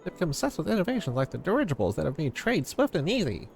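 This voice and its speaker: An eager young villager from a fantasy game, acted out by a man, with a high and wildly swooping voice. The small loyal guide explains things earnestly, a gravelly edge in the sound and music underneath. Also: wildly swooping pitch, racing, slight background noise, heavily acted. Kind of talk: fantasy game dialogue read aloud.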